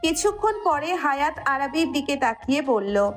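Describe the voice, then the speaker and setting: A Bangladeshi woman reads a romantic story as its narrator. Her voice sits high, and soft music plays faintly behind her scripted delivery. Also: animated, normal speed.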